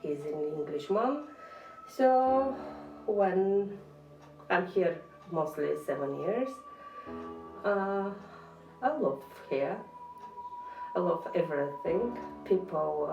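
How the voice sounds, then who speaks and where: A roomy, distant recording of a Bulgarian-accented woman talking informally at a slow pace, with music playing behind her. She uses simple phrasing with short pauses, as a non-native speaker. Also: fairly low pitch, animated, lo-fi sound. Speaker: dressmaker being interviewed. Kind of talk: informal personal account to an interviewer.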